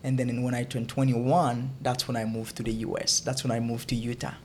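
A young man from Haiti, a guest in a casual interview, who talks haltingly and often repeats words while he looks for them. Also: medium pitch, flat delivery, a little mellow, slight background noise.

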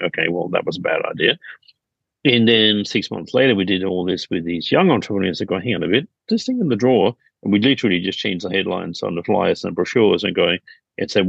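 Gravelly, low-voiced middle-aged man. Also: dry recording, dull audio. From Denmark. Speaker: podcast guest, business founder. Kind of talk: conversational interview storytelling.

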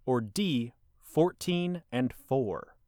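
A man's voice: mid-range voice, animated, extremely slow, dark tone, no echo, studio quality. A man hosting a casual comedy podcast, running a movie quiz with jokes and frequent laughter. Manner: jokey, laughs often, quiz-master phrasing.

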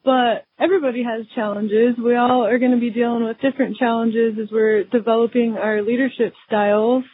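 A woman guest in a casual interview, talking in a soft voice with many fillers and restarts. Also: on the high side, breathy edge, dry recording. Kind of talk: conversational interview. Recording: tinny phone-like line.

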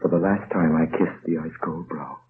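An actor playing a grave prisoner who recounts his escape in intense, deliberate first-person radio narration. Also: deep voice, fairly even intonation, natural voice. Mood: grave, tense. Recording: muffled old radio recording, faint hiss.

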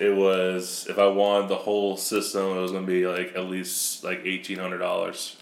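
Male co-host, silky bass, very low and even, laid-back, echoey room. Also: moderate pace, creaky. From New York. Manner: laid-back, brief replies. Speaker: podcast co-host. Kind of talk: casual chat.

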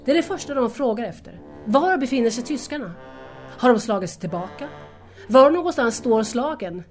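A woman's voice: on the high side, darkish tone, close to an everyday voice. A woman playing a hurt, anxious young woman in an audio drama, speaking earnestly and formally to estranged lovers. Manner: earnest, wounded, formal address.

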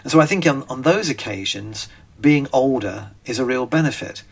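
A man's voice: mid-range voice, expressive, moderate pace, gravelly, breathy edge. An older British man with a British accent hosting a podcast, talking in a rambling, chatty way full of ums and restarts. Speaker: podcast host, magician. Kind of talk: casual spoken monologue.

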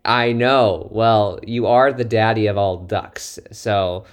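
A man's voice: lowish voice, slow, smooth. A man chatting casually and teasingly as a podcast co-host. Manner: playful, teasing. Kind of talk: casual chat.

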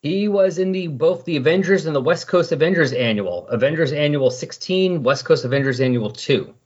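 A man with a husky voice chatting casually on a podcast, explaining and recapping in a rambling way. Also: a little high, lively intonation, normal speed, a little mellow. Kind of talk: casual comic book discussion. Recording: dull lo-fi voice recording.

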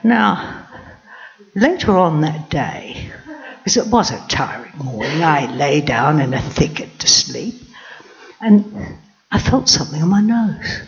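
A woman's voice: deep voice, full character voice. A woman narrating in character as a proud, wry lioness queen in a storybook tale, heard in a dull lo-fi recording. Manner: wry, lively, drawn-out delivery.

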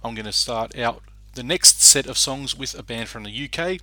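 A man's voice: medium pitch, moderate pace, sharp tone, dry recording, poor audio. A man hosting a music radio show, introducing songs in a chatty way with frequent fillers and restarts. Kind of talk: casual music show presenting.

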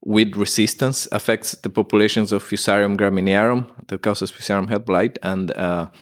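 A man's voice: on the low side, steady tone, normal speed. A middle-aged man from Ecuador with an Ecuadorian Spanish accent, a plant pathologist explaining his research as a guest, hesitant with many fillers and restarts. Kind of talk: conversational interview.

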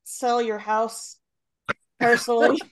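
Female podcast co-host, playful and giggly, slightly high. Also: little pitch movement, takes it slowly. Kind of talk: casual group chat, reading a listener email.

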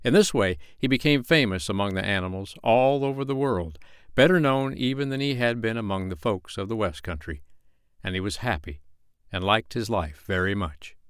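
A man narrating a children's bedtime story as an audiobook reader, in an expressive, measured storytelling manner. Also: lowish voice, clean tone, no echo, studio quality.

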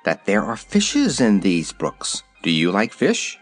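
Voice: low-pitched, huge pitch swings, takes it slowly, soft background music, pitched below the performer's own voice, heavily acted. A narrator voicing a little male humbug wizard in an audiobook, candid and explanatory. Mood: matter-of-fact. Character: little old humbug wizard, kindly showman. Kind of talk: audiobook character voice.

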